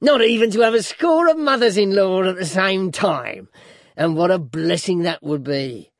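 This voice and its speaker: A man's voice: high-pitched, animated, moderate pace, vocal fry, no echo, clean studio sound, voice pushed up. A man voicing a grotesque, malicious dwarf husband in an audiobook, mock-courteous and gleefully taunting, stretching his phrases for emphasis. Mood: sly, taunting.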